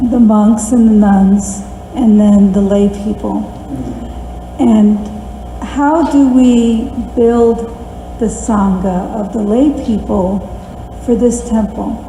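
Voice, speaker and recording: Woman, soft voice, very dark tone, slow, echoey hall. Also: a little high. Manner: hesitant, humble. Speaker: audience member asking a question. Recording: echoey hall with faint noise.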